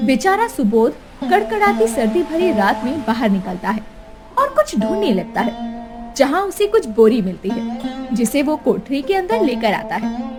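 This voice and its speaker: A woman tells a cartoon story in a high, lively voice. Her scripted narration is dramatic, and music plays clearly behind her. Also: unhurried.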